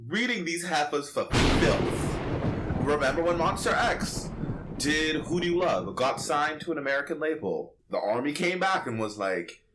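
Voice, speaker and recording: A man's voice: fairly high pitch, animated, normal speed, noisy background. A man hosting a podcast in casual, opinionated chat, sassy and slangy with emphatic delivery. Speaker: podcast host.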